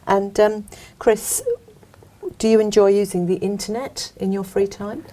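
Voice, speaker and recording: A woman's voice: medium pitch, flat delivery, measured pace, dark tone. A woman examiner politely asking candidates questions in a recorded speaking exam. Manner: polite, encouraging prompts. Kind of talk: exam interview.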